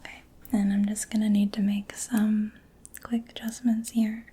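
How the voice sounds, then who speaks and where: A woman doing soft-spoken ASMR roleplay in a breathy whisper, gentle, attentive and reassuring, close to the microphone. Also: medium pitch, little pitch movement, normal speed. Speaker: ASMR performer. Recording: faint background noise.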